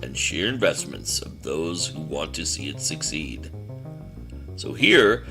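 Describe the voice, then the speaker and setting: A man's voice: low-pitched, gravelly. A man reading a scripted show introduction as host.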